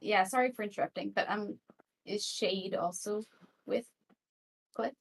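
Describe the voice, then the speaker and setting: Creaky-voiced woman, faint background noise. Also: mid-range voice, a little slow. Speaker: role-play game player.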